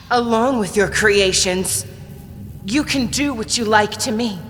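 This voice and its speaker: A woman's voice: medium pitch, a bit bright, slight background noise. A woman playing a proud, defiant female titan with claws, imperious and threatening, in a fantasy audio drama. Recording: long reverb, music underneath.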